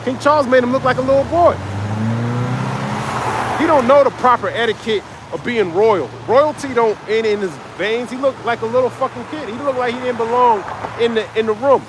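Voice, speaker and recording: High-voiced guy preaching on a livestream outside, cussing and reading psalms at people, loud street noise around. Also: fairly quick. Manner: confrontational, profane, reads and expounds scripture. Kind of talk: live-streamed preaching.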